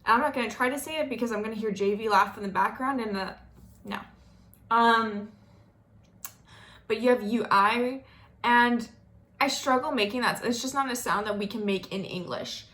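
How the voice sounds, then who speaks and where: A young American woman talks casually to the camera in a bright, forceful voice. Her pitch sits a little high, and she keeps the talk loose and chatty, full of fillers and asides. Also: normal speed, long pauses. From Florida, United States. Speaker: vlogger.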